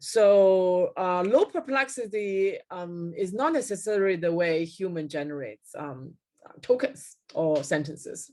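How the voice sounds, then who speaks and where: A middle-aged woman giving a technical keynote lecture over slides on a video call, pausing often with fillers and correcting herself mid-word. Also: on the low side, darkish tone. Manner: frequent fillers and self-corrections. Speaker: keynote speaker. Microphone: webcam at desk, video call.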